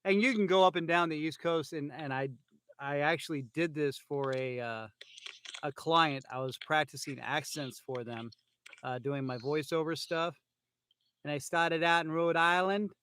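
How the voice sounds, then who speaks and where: A man's voice: a little high, fairly bright tone, clear, resonant tone. A man from California hosting a casual podcast, rambling with lots of fillers and restarts, a bit loopy on pain pills, talking into an iPhone. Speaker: podcast host. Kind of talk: casual chat.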